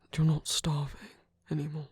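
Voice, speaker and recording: A performer playing a tender villain comforting a lover in an intimate ASMR roleplay, often whispering in a gentle, coaxing way close to the microphone. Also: mid-range voice, completely flat delivery, normal speed, no echo. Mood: tender, reassuring. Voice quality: breathy, husky, often whispering.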